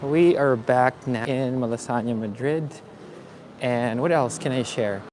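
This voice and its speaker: Slow, chatty man walking city streets. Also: mid-range voice. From Philippines. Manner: relaxed, chatty, many fillers. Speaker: vlogger. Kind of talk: live-streamed walking tour. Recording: faint street noise outdoors. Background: street traffic and ambience.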